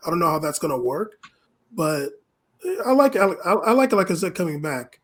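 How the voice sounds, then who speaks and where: A man with a fairly high-pitched voice chats as a podcast pundit about sport. His phrasing is loose and halting, with plenty of casual filler as he thinks aloud. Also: sing-song, a bit bright. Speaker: podcast co-host and soccer pundit.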